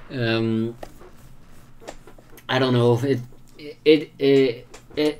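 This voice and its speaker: A live streamer with a non-native English accent, chatting casually while gaming, hesitant and often repeating words while searching for them. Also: mid-range voice. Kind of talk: casual chat while playing a game.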